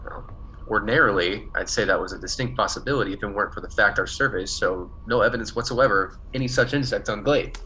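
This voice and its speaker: A man's voice: on the low side, quick pace, bright, forceful tone, a little hiss or hum, low-quality recording. A man playing an earnest, defensive biologist on a colony planet in a classic radio play, emphatic and easily flustered. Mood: reasoning.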